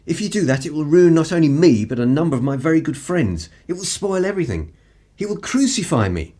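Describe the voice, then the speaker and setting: An actor playing a condescending, upper-class English spy in a radio play, with a dramatic, old-fashioned gentlemanly manner. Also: male voice, fairly high pitch, very animated, brisk. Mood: pleading. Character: flustered, condescending upper-class male spy, drunk and desperate.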